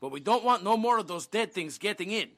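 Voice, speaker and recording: A man's voice: high voice, expressive, a little fast, a bit bright. A man playing a jumpy but brave, wisecracking young survivor in a zombie audio drama. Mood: wary.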